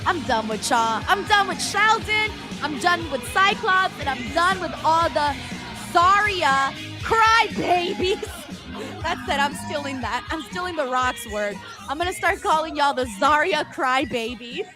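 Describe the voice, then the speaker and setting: A woman's voice: high-pitched, expressive, unhurried, over music. A woman hosting a live stream, chatting casually to her viewers and reading out their comments, laughing often and using lots of filler words. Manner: talks straight to her viewers. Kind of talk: casual watchalong chat.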